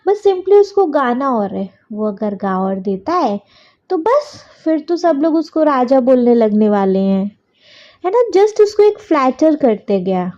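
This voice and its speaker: A woman's voice: high voice, big pitch swings, a little slow, mellow, dull audio. A woman schoolteacher with an Indian accent giving a question-and-answer lesson, didactic, repeating sentences as dictation and checking that pupils follow. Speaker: school English teacher. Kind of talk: textbook question-and-answer lesson in Hindi and English.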